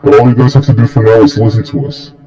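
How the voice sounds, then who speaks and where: A man voicing a stern, confident dark-lord mentor in an amateur fan story, coaching in a blunt, matter-of-fact way. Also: on the low side, steady tone, fast, muffled recording, natural voice.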